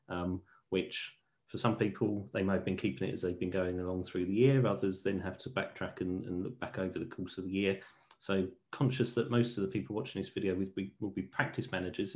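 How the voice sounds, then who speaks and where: A middle-aged man, an accountant, hosting a professional discussion over a video call, measured and asking colleagues for their views. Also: lowish voice, a little mellow. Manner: measured, asks colleagues questions. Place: home room with teal wall. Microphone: earphone headset on video call.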